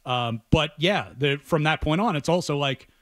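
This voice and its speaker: A man's voice: a little high, moderate pace, dry recording, studio quality. A man speaking casually and earnestly as a radio guest, telling personal stories in an appreciative way. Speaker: radio guest and sports play-by-play announcer. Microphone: close studio radio mic.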